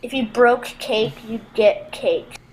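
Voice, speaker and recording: A woman's voice: fairly high pitch, slow pace, darkish tone, slight background noise, rough recording. A woman speaking casually as a podcast guest, asking simple questions. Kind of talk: casual chat.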